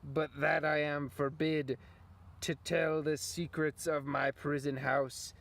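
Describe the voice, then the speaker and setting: A man voicing the solemn, suffering ghost of a murdered king in a Shakespearean puppet show, declaiming in drawn-out phrases. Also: fairly high pitch, level tone, gravelly, a bit bright, no echo, exaggerated voice. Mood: ominous.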